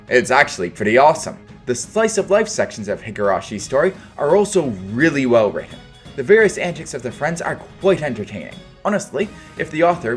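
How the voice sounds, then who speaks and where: A man delivering a scripted video review in casual, opinionated phrasing with dry understatement. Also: medium pitch.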